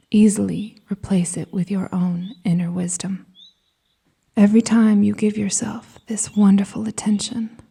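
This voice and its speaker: A woman with a soft, breathy, whispery voice guiding a scripted meditation in a soothing, gentle manner with deliberate pauses. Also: medium pitch. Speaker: hypnosis and meditation guide.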